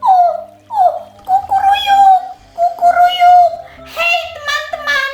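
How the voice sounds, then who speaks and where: A woman voicing an excitable, frantic male rooster in a storybook tale, squealing and crowing. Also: takes it slowly. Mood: alarmed. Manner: squeals and crows, shrill and shouty. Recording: roomy echo, faint music.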